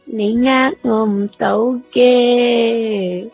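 A woman's voice: fairly high pitch, steady tone, very slow, vocal fry, full character voice. A woman playing a frail, childlike, perceptive ninety-four-year-old granny in a Hong Kong Cantonese radio drama. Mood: playful, knowing. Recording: muffled, music underneath.

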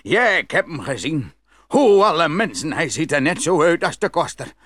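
Excitable, stammering gullible farmer. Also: male voice, fairly high pitch, normal speed, dry recording, studio quality. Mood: horrified. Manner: stammering, blustery. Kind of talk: dramatised fairy tale acting.

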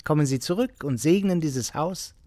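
An actor playing an overbearing, sarcastic husband in a German radio play, emphatic and insistent. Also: male voice, fairly high pitch, expressive, moderate pace, warm tone, no room sound, close to an everyday voice. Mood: irritated, goading. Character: overbearing, sarcastic middle-class husband and host, easily offended.

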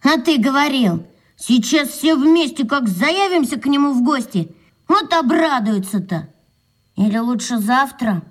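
A woman's voice: sits high, lively intonation, measured pace. A woman playing an eager, cheeky young schoolboy sidekick in a radio play.

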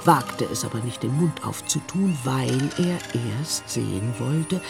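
Mid-pitched literary narrator over music. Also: lively intonation, a little slow, creaky. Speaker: narrator, storyteller. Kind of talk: literary scripted narration in past tense. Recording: music behind the voice.

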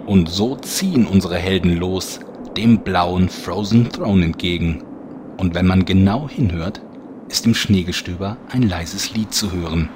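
A man's voice: sits low, measured pace, background noise. A man playing the wry narrator of a fantasy parody audio drama, presenting it like a showman. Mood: storytelling.

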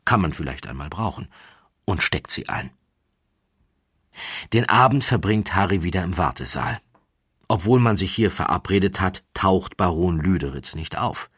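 Deep, husky male storyteller. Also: animated, moderate pace, fairly bright tone, dry recording, clean studio sound. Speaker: audiobook narrator. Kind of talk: scripted narration, literary storytelling.

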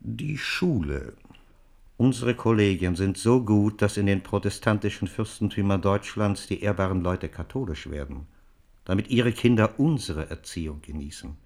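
A suave Jesuit envoy in a radio play. His voice is low and his delivery formal and measured. He insinuates with cool, calculating cynicism. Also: gravelly, a little mellow. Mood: persuasive. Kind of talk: German radio play acting.

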